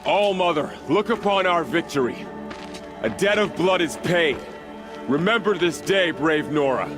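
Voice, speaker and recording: An actor voicing a vengeful, eager young warrior in a video game cutscene, with a fervent, declaiming delivery. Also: male voice, a little high, lively intonation, unhurried, music in the background. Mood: triumphant.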